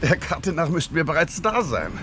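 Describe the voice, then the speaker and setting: A man playing a courteous, wry English gentleman husband in a German radio drama, urbane and fond of explaining. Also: fairly high pitch, sing-song, moderate pace, noisy background. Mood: polite, patient.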